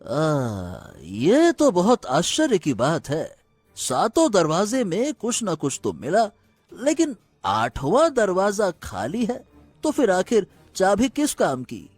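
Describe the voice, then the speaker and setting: Royal minister for cartoon fable, male actor: slow, respectful, exaggerated, very wide melodic swings. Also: high-pitched, huge pitch swings, dry recording, faint music behind, heavily acted. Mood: puzzled. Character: deferential royal minister advising his young prince. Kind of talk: animated Hindi moral-story cartoon dialogue.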